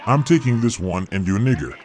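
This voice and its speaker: A man's voice: on the low side, lively intonation, brisk, a little mellow, a little hiss or hum, lightly acted. A man voicing a sneering Old West gang boss. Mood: menacing. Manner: sneering, menacing drawl. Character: sadistic, whiskey-soaked Old West gang boss, male. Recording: music playing behind.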